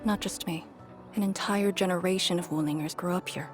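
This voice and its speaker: An actress playing a solemn, battle-worn woman commander in a video game, speaking in a grave, measured, formal way. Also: fairly low pitch, fairly even intonation, lightly acted. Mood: somber, wistful. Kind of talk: dramatic video game story dialogue. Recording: game music behind the voice.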